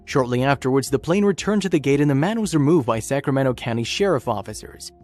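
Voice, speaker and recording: A male narrator reads a scripted story in documentary style. His voice sits at an average pitch for a man. Music plays behind him. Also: expressive, fast, a little mellow. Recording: music bed under narration. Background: background music.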